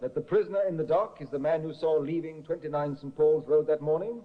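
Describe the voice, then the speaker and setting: An actor playing a brisk, formal prosecuting barrister in a 1950s radio drama. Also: male voice, fairly high pitch, natural voice. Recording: dull lo-fi old radio broadcast.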